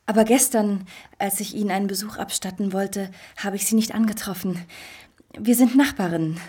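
A seductive, scheming wife in a radio play, played by an actress, mellow and breathy. She is polite and ingratiating, angling for favours. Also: mid-range voice, fairly even intonation, brisk, close to an everyday voice. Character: pretty, seductive, scheming married woman seeking favours. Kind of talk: German radio drama acting.

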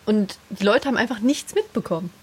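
A woman's voice: mid-range voice, lively intonation, brisk, husky. A woman chatting casually and nostalgically in a group, with an enthusiastic, gushing manner. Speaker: podcast co-host.

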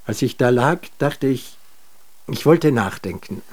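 Weary man, halting, stubborn, self-doubting, medium pitch, natural radio play delivery. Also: animated, a little mellow. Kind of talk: German radio drama acting.

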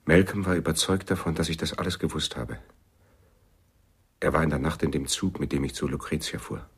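An actor playing a haunted, subdued jazz pianist in a radio drama, with a husky, breathy voice. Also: male voice, rumbling low, steady tone, a little fast. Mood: troubled, recounting. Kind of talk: German radio drama acting.

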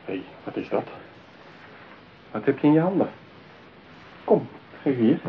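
A man's voice: medium pitch, sing-song, a little slow. An actor in a radio play playing a lonely, possessive man besotted with his mechanical bride, talking theatrically. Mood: suspicious, scornful. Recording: tinny narrow-band radio play, clear hiss.

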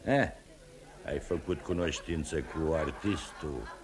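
An actor in a radio play voicing a prickly, nosy intruder who mocks and mutters in a theatrical way. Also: male voice, a bass voice, a crowd in the background. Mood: mocking, intrusive. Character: prickly, nosy eavesdropper, sneering and insulting intruder. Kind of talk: theatrical radio drama acting.

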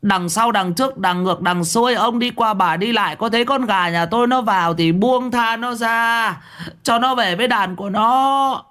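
A man's voice: high voice, moderate pace, bright, forceful tone, clean tone, pitched above the performer's own voice. A man voicing an older village wife who shrieks a long, rhythmic tirade of curses at a chicken thief. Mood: furious ranting.

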